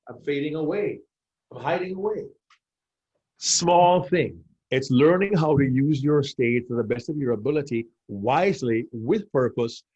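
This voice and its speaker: Husky, animated man from Jamaica. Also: on the high side, muffled recording. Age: 50s. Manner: emphatic, repeats key phrases, addresses host by name. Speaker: public speaking coach, podcast guest. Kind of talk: animated coaching explanation.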